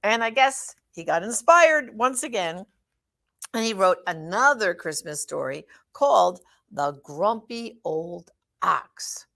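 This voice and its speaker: A woman's voice: mid-range voice, expressive, takes it slowly, creaky, a bit bright. A woman presenter introducing a story reading to her audience.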